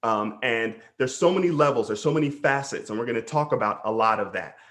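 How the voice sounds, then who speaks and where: A man's voice: mid-range voice, animated, fairly quick, a bit bright. A man hosting a casual interview as a coach, enthusiastic and affirming.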